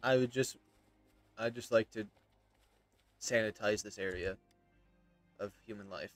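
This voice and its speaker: A man's voice: lowish voice, unhurried, soft background music. A man in his thirties giving casual, joking playthrough commentary on a game and reading bits aloud, sardonic in manner. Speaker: gaming streamer.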